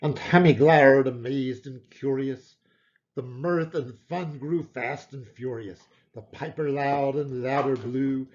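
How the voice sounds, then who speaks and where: A man giving a theatrical poetry recitation, emphatic and dramatic, with deliberate pauses. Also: fairly high pitch, a little mellow. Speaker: poetry reader. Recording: dull lo-fi recording.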